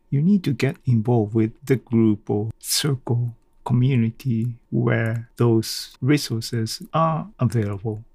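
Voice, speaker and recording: Solo podcast host: male, non-native English, unhurried and halting, thinking out loud, very dry recording. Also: mid-range voice, breathy edge, no room sound. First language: not English. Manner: halting, thinking aloud in short phrases. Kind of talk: unscripted solo reflective podcast talk.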